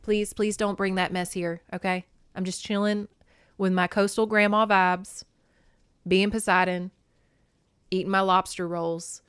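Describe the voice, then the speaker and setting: A woman's voice: medium pitch, little pitch movement, measured pace, fairly bright tone, smooth, natural voice. A woman voicing a weary, reluctant, slangy sea god in a comic retelling, grumbling casually. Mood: exasperated.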